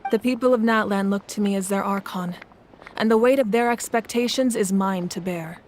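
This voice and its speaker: A woman's voice: medium pitch, moderate pace, a little hiss or hum, close to an everyday voice. A woman playing a composed, resolute warrior goddess who rules a nation, speaking formally and solemnly in a video game.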